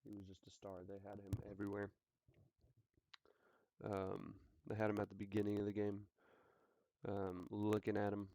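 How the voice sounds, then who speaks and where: A man's voice: fairly low pitch, completely flat delivery, normal speed, pauses often, warm tone, dry recording. A man hosting a casual sports talk show, joking and hyped, repeating his lines for effect. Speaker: sports podcast host.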